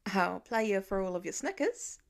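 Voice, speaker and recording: Woman voicing a lively, bantering teenage boy. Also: medium pitch, normal speed, vocal fry. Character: teenage boy, incredulous, good-natured big brother.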